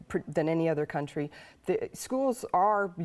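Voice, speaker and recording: Woman, professor guest, lowish voice, halting with fillers. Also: expressive, a little mellow. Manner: thoughtful, many fillers and stammered restarts. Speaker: psychology professor, interview guest. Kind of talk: live TV interview.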